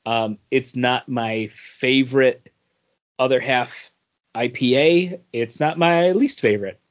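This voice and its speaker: A man's voice: mid-range voice, takes it slowly, dull audio. A man co-hosting a podcast with casual, conversational talk. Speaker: podcast host. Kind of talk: casual chat.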